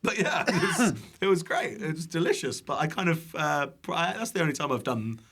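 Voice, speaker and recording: A man's voice: fairly high pitch, sing-song, fairly quick, a bit bright. A British man talking casually as a podcast guest, chatty and jokey with informal, loose phrasing. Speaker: podcast guest, online cooking content creator.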